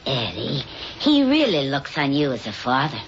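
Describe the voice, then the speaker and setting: A low-voiced middle-aged mother figure in an old radio drama. She is earnest and caring, scolding and pleading in turn, on a dull recording with faint noise. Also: huge pitch swings, moderate pace, full character voice. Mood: gentle, consoling.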